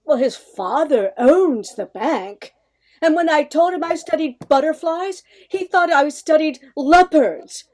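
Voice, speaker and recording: A woman's voice: high-pitched, lively intonation, a little mellow. A woman playing a headstrong, witty woman professor of nearly thirty in a radio comedy play, with dry retorts. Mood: exasperated, wry. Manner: dry, quick-witted retorts.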